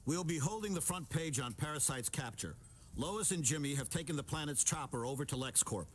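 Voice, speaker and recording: An actor playing a no-nonsense male newspaper editor in a video game, brisk and matter-of-fact. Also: on the high side, expressive, slight background noise, natural voice. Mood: businesslike. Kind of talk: video game cutscene acting.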